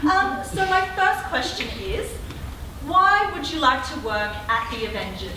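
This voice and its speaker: A woman's voice: high-pitched, sing-song, measured pace, pushed and bright, heavily acted. A woman playing a polite, professional interviewer and group leader in an amateur comedy stage play. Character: polite, brisk female interviewer and meeting leader. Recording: echoey hall from far off, very noisy.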